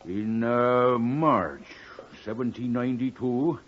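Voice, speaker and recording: An actor playing a humble, rambling English smith, deferential and obliging, with some hesitation, in a 1950s radio drama. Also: male voice, mid-range voice, slow pace. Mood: eager, rambling. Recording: muffled old radio recording.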